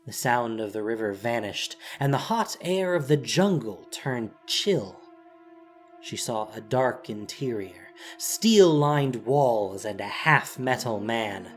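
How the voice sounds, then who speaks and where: A man's voice: mid-range voice, expressive, takes it slowly, faint music behind. A man reading scripted fantasy narration in a dramatic storytelling style, as the narrator of an audio drama.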